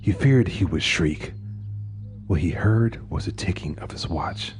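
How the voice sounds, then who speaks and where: A man giving a measured, solemn narration of a literary dark tale. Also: low voice. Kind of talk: dramatic horror-tale narration of a literary short story. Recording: music under the voice. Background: music.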